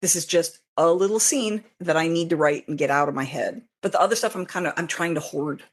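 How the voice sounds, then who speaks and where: A woman's voice: deep voice, fairly quick. Woman chatting as an interview guest. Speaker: guest, fan fiction writer. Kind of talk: casual interview chat, telling stories.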